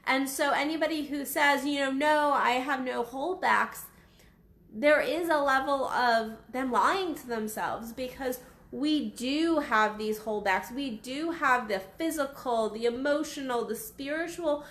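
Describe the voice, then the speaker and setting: A woman in her thirties, a sex coach, talking casually and bluntly to camera, her voice raspy from being unwell. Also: high voice, a little slow, a bit bright, reverberant. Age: 30s. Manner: frank, blunt, swears freely. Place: small waiting room at her office.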